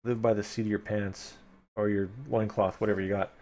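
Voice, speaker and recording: A man's voice: fairly low pitch, level tone, a little fast, a little mellow. A man streaming a live read-aloud of a fantasy gamebook, dramatic in the narration and informal in his asides. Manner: playful, informal, dramatic when reading.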